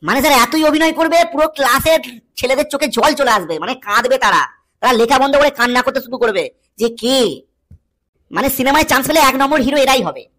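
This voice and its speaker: A woman's voice: high voice, animated, a little fast, fairly bright tone. A woman voicing a cheeky, dramatic cartoon schoolboy, giving a theatrical comic lecture. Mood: mocking.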